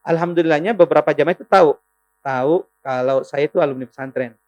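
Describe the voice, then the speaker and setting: Earnest preacher with a Makassar accent. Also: male voice, on the high side, dull audio. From South Sulawesi, Indonesia. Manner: earnest, unhurried storyteller who turns into forceful exhortation. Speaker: Islamic preacher, podcast guest. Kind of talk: religious storytelling and advice.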